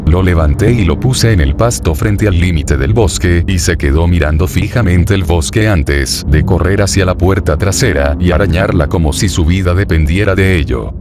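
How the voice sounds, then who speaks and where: A man with a very low, deep voice reads a first-person horror story. His delivery is steady and even, like scripted narration, and clear music plays beneath him throughout. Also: moderate pace, hardly any pauses. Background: background music.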